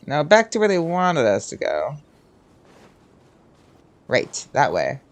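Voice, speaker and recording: A man's voice: fairly high pitch, wildly swooping pitch, slow pace. A man giving casual, reactive commentary while playing a video game. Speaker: gamer recording a playthrough. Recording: commentary over game music.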